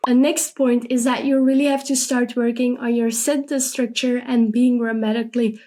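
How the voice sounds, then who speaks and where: A woman's voice: a little high, steady tone, moderate pace, mellow. A young woman, a native Dutch speaker, giving a casual video lesson and speaking straight to her viewers, with many "for example"s. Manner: talks straight to camera, frequent "for example" and "you're gonna". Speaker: language teacher.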